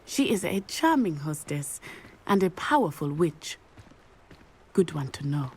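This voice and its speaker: An actress playing an earnest, friendly teenage student in a video game, alert and eager. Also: female voice, low-pitched, very animated, unhurried, a little mellow, slight background noise. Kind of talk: video game cutscene acting.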